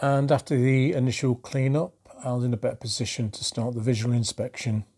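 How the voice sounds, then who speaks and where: A middle-aged man in a home workshop talks calmly and methodically through a radio repair, with many ums and uhs. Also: medium pitch, fairly quick, breathy edge. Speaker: electronics restorer.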